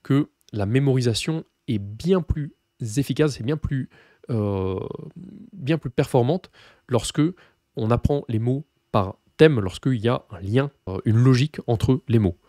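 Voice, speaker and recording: A French man who teaches Russian, explaining his course in a relaxed way with many fillers and restarts. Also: mid-range voice, a little slow, dry recording, studio quality. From France. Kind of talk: course presentation to camera.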